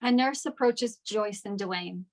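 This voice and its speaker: A woman reads scripted stage directions as a narrator. Her voice sits at a medium pitch. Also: unhurried, a little mellow.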